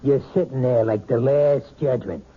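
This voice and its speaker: A man's voice: mid-range voice, normal speed, breathy edge, close to an everyday voice. An actor playing a bullying, short-tempered husband in a 1970s radio drama, blunt and scornful as he badgers. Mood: scornful, angry. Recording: noisy, dull old radio broadcast.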